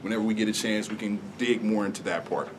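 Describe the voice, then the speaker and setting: A man's voice: fairly low pitch, steady tone, fast, background noise. A man presenting a proposal at a city hall community meeting, speaking conversationally with frequent fillers.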